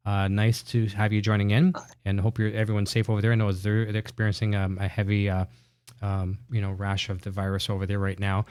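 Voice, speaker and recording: A man's voice: on the low side, level tone, brisk, a little mellow, clear, resonant tone. A man hosting a show, chatting with a guest and reading out viewer comments. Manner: upbeat, reads viewer comments. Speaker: show host. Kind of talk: casual interview chat.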